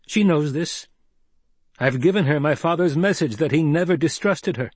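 A man performing a young nobleman in an audiobook, grave and coldly controlled, with measured, formal, deliberate delivery. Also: fairly high pitch, breathy edge, dry recording, voice pushed up. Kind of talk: dramatised audiobook narration.

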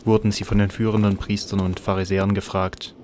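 A man's voice: deep voice, little pitch movement, brisk, noisy background. A man reading scripted narration for a Bible audio drama.

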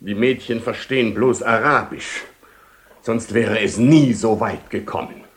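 A man's voice: on the low side, a little slow, natural voice. An actor playing a pompous, ceremonious knight commander and father in a radio play, long-winded and repetitive. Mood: agitated, guilty. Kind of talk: German-language radio play acting, 1970.

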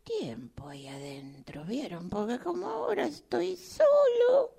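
A woman voicing a lonely old male tortoise in improvised radio theatre, with a Rioplatense Spanish accent and a halting, plaintive, breathy delivery. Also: sits high, sing-song, dark tone, full character voice. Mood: confiding. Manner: halting, plaintive, rambling, breathy drops into near-whisper.

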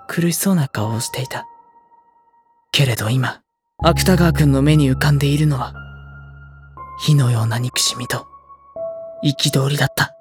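A man's voice: mid-range voice, close to an everyday voice. An actor playing an anxious, introspective teenage schoolboy, narrating his brooding inner thoughts in a Japanese audio drama.

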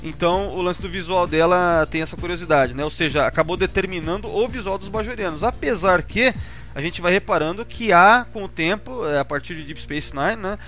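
A man's voice: sits high, fairly quick, sharp tone. A Brazilian man hosting a podcast, casually discussing a TV series and peppering his talk with tag questions. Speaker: podcast host. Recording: music under the voice.

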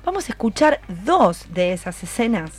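A woman's voice: on the high side, very animated, normal speed. A woman with a Rioplatense Argentine accent hosting a conversational radio interview, encouraging and full of questions. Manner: encouraging, asks many questions. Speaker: radio host.

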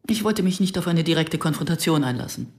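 A woman's voice: deep voice, expressive, fast, a little mellow. An actress playing a wry, self-questioning Israeli woman writer who narrates a radio drama in a dry, reflective, intimate way. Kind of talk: radio drama acting with first-person narration.

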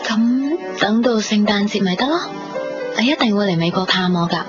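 A woman's voice: medium pitch, expressive, normal speed, lightly acted. An actress playing a tender but guarded young woman in love, in a 1990s radio drama with a Hong Kong Cantonese accent. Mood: gentle. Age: young adult. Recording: dull 1990s radio recording, loud music behind.

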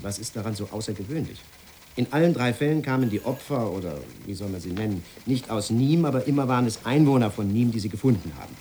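A rapid, slightly low male voice from an actor as a jaded local newspaperman in a radio drama. He is brusque and dismissive, weary of explaining himself, over faint noise. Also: darkish tone, natural voice. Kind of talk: German radio play acting.

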